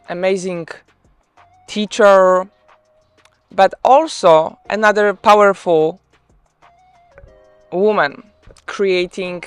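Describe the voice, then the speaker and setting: Polish-accented woman host, low-ish voice, unhurried and halting. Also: clean tone, no echo. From Poland. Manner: hesitant, many ums and restarts. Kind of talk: live online interview.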